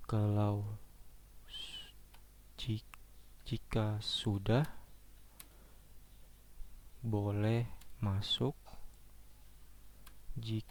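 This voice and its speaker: Young male lecturer, a bit hoarse, mellow low voice, chatty and halting delivery. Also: flat delivery, a little hiss or hum. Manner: casual, halting, many fillers and restarts. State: slightly hoarse. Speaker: programming lecturer. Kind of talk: screen-recorded coding lesson.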